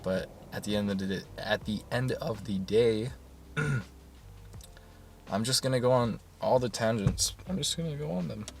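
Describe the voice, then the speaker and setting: A man talking in a soft, soothing voice in a casual rambling monologue, full of fillers and restarts. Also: lowish voice, measured pace, vocal fry. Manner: soft-spoken, meandering, many fillers and restarts. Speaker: YouTuber.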